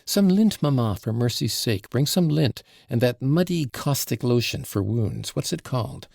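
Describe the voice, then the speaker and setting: A capricious invalid girl snaps out orders and teases in a raised, lively voice, as a male audiobook reader puts her on. The sound is gravelly and husky, close and dry. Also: medium pitch, normal speed, no room sound, pitched above the performer's own voice. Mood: imperious. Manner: sharp, bossy and mocking.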